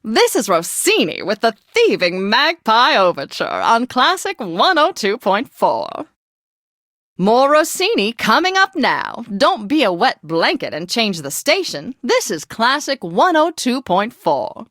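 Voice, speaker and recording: An actress playing a posh, flamboyant, tipsy classical radio DJ in a video game, with a gushing, affected, genteel manner. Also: female voice, a little high, wildly swooping pitch, a little slow, fairly bright tone, no echo, studio quality, full character voice. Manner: theatrical, affected, genteel and effusive.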